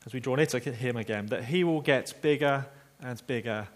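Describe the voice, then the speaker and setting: A man preaching a sermon, telling a story earnestly and slowing down quietly for emphasis. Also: mid-range voice.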